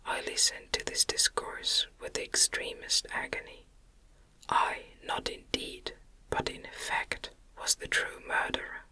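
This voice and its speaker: A woman reading a gothic novel as ASMR in a gentle, soothing, breathy whisper. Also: a little slow. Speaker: audiobook reader.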